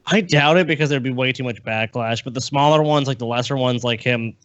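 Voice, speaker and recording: Fast-talking man, slightly dull dry recording. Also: medium pitch, a bit bright. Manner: slangy, swears freely. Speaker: podcast co-host. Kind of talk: casual chat and commentary.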